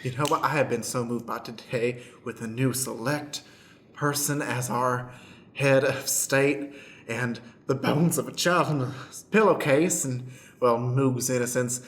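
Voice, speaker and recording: A man's voice: medium pitch, fairly even intonation, measured pace, close to an everyday voice. A man playing a sentimental blind judge in an improvised radio comedy, making grand, moved declarations. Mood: magnanimous, grand. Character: sentimental, pompous blind judge presiding over a court. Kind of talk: improvised radio play acting.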